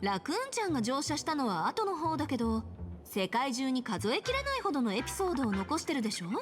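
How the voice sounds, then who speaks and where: A bubbly young anime girl from a video game, theatrical and cutesy. Her voice is high and swings in pitch, with clear music playing behind it. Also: sing-song, rapid-fire. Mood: animated, teasing. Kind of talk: Japanese anime game voice acting.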